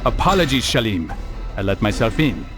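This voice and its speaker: A man playing a calm, resolute assassin hero in a video game, speaking in a measured, formal, serious manner. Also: mid-range voice, music in the background, natural voice.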